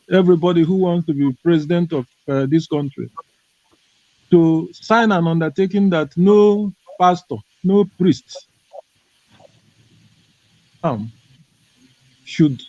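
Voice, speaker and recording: A man giving impassioned political commentary on a call, forceful and scornful toward religious leaders. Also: on the high side, no echo. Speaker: panelist. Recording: muffled lo-fi call audio.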